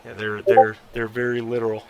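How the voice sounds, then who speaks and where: A man narrating a tabletop role-playing game as game master, halting and conversational as he searches for words. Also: mid-range voice, monotone, highs cut off, slight background noise.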